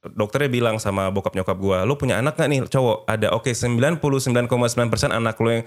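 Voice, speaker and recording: A man's voice: mid-range voice, quick pace. A man in his thirties from North Sumatra chatting casually as an interview guest, colloquial and dropping in English phrases. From North Sumatra, Indonesia. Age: 30s. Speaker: podcast guest, presenter and pageant alumnus.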